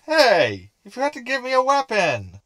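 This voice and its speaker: A man's voice: very high-pitched, wildly swooping pitch, moderate pace, fairly bright tone, no echo, exaggerated voice. A man playing a jittery, sarcastic hologram crew member in a sci-fi comedy audio drama, whiny and easily flustered. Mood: alarmed, flustered.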